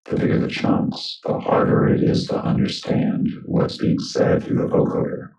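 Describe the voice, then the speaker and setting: A man's voice comes through a synthesizer vocoder, robotic and dark in tone. He explains informally as he demonstrates, with faint musical tones in the sound. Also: normal speed, soft background music, rough recording. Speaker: tutorial presenter.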